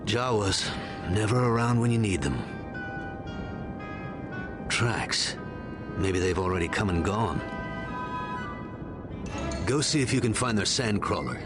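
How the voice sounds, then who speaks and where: A man's voice: on the low side, animated, takes it slowly, pauses often, loud music behind the voice. A man voicing a seasoned, easygoing and wry Jedi mentor in a video game.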